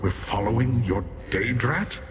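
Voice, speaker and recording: An actor playing a haughty, disdainful demon warrior in a fantasy video game, measured, formal and scornful. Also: male voice, medium pitch, lively intonation, noisy background, natural voice. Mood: measured, analytical.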